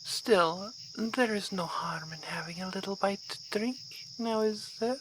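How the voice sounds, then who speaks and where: A woman's voice: medium pitch, a little slow, lightly acted. A woman playing an aristocratic vampire baroness in an ASMR roleplay, speaking in a formal, refined, seductive and composed way. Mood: calm, calculating. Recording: clear night insect noise behind voice.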